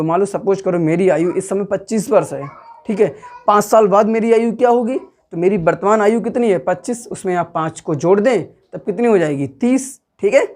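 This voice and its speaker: A man's voice: high voice, a little mellow. A man with a Hindi accent teaching maths step by step, asking the class questions and answering them himself, patiently repeating each step. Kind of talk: classroom lesson, explaining step by step.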